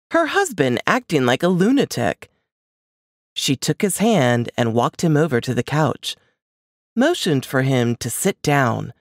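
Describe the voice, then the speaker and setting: Slow, expressive woman narrator. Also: a bass voice, no room sound, clean studio sound. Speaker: audiobook narrator. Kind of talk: scripted fiction narration.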